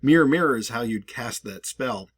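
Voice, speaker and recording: A man's voice: mid-range voice, animated, normal speed, breathy edge, no room sound, clean studio sound. A man hosting a video with casual, rambling and emphatic conversational commentary. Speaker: video host.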